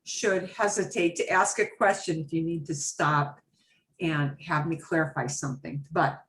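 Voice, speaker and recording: A woman's voice: sits low, steady tone, moderate pace, a bit bright. Chatty woman teaching Spanish. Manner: chatty, switches between Spanish and English, explains patiently. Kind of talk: online class teaching.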